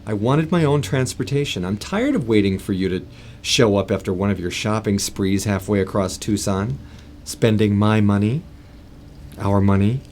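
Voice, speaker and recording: An actor playing a henpecked, exasperated husband in an audio drama, grumbling in short bursts. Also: male voice, on the low side, moderate pace, darkish tone, a little hiss or hum, lightly acted. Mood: exasperated, defensive.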